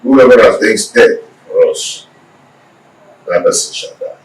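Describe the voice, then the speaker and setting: A man's voice: on the low side, lively intonation, a little slow. A man playing a solemn village spokesman, delivering ceremonial oratory. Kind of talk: ceremonial oratory in film drama. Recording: echoey, distant, faint background noise.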